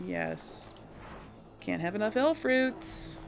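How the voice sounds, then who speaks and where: A woman's voice: mid-range voice, expressive, extremely slow, a little hiss or hum. A woman hosting a casual role-play game playthrough, thinking aloud in a rambling way. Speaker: let's play host.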